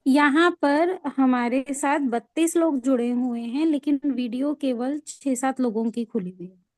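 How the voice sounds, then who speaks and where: A woman's voice: high voice, measured pace, no room sound. A woman moderating an online class, informally addressing the participants on a video call.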